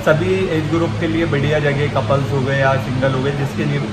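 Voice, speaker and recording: A young man, talking through a casual food vlog. His voice sits a little high, and he keeps chatting straight to the viewers. The phone mic, held at arm's length, picks up plenty of street and cafe noise around him. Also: fairly even intonation, a little fast. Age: 20s. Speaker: food vlogger. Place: cafe, indoors and out front on a city street. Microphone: phone at arm's length, selfie. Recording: noisy street and cafe sound.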